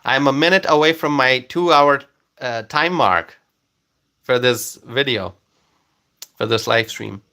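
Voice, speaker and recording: A man whose first language is Bengali hosts a relaxed live stream and reads out viewer comments. His voice is bright and forward, set at an ordinary middle pitch. He halts now and then on fillers, but he stays friendly and keeps thanking people by name. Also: a little slow, gravelly, pauses often. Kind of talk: casual live stream chat reading comments.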